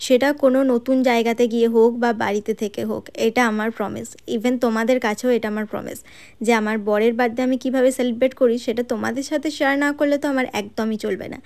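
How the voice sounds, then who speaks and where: A woman's voice: a little high, fairly even intonation, quick pace, a little mellow. A woman narrating her road trip vlog in a chatty voice-over, talking straight to her viewers. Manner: chatty, addresses viewers directly with tag questions. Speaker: travel vlogger.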